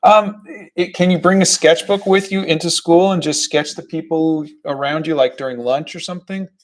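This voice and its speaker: A middle-aged man hosting an art livestream, chatting in a relaxed, halting way with fillers while he paints. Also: on the high side. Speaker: artist and livestream host. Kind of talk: casual chat while painting.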